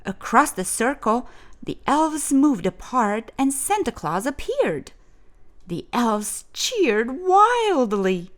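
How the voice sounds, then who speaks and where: Female storyteller, high voice, very lively intonation, expressive children's read-aloud with dramatic pauses. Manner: animated, deliberate, dramatic pauses.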